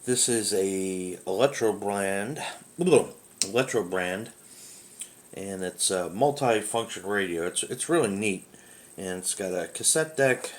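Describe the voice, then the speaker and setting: A man casually showing off an old radio at home, rambling and thinking aloud as he goes, filmed on a handheld camera close by. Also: lowish voice. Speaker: radio collector demonstrating a radio. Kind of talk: casual product demo. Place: home room with a window.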